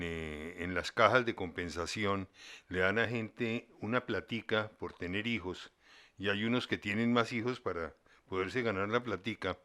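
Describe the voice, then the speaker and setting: A man's voice: lowish voice, a bit bright. An older man with a Colombian accent casually recounting anecdotes as an interviewee. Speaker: interviewee recalling father's accounts.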